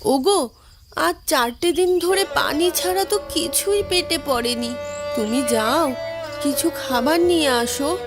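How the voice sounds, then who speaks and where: A woman acts a suffering, devoted village wife in a cartoon drama. The voice is extremely high, plaintive and slow, dragging out each plea, with music clearly behind it. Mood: weak, pleading. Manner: pleading, plaintive, drawn-out. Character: starving, grieving, devoted young village wife.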